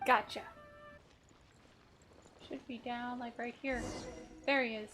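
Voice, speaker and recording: High-voiced woman chatting over gameplay. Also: fairly even intonation, takes it slowly, music in the background. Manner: giggly, playful. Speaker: game streamer. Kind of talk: casual gameplay commentary.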